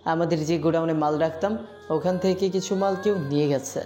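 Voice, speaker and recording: Woman acting wary gangster aide, dark low voice. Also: fairly even intonation, a little fast, soft background music, lightly acted. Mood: wary, dutiful. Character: loyal wary male gangster aide, deferential. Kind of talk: amateur Bengali audio-story acting.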